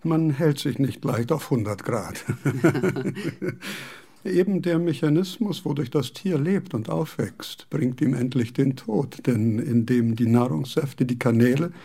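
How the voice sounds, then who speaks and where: A gravelly, musing old philosopher. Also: male voice, mid-range voice, animated, a little slow, dark tone, full character voice. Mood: lecturing. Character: aging male philosopher, absent-minded and pedantic. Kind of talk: radio play acting.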